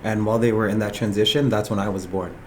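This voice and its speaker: Young man, slightly low voice. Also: steady tone, quick pace, a little hiss or hum. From Ottawa, Canada. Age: 20s. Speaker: podcast guest, soccer player. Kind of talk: casual personal storytelling in an interview.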